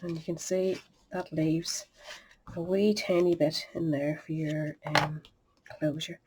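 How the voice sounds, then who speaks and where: A middle-aged woman talks her way through a card-making project in a chatty, homely manner. She sounds a bit sniffly from a cold and often drops into quiet muttered asides, over a faint background hiss. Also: deep voice, little pitch movement, takes it slowly, low-quality recording. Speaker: craft tutorial host. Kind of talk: step-by-step crafting demonstration.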